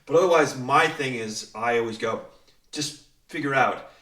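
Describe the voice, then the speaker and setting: A man chats casually in an echoey room, a little away from the mic. He has a medium-pitched voice and talks as a writer-director being interviewed, joking at his own expense. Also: normal speed, a bit bright, far from the mic. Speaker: writer, director and producer of a radio play, interviewee. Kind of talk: casual group conversation.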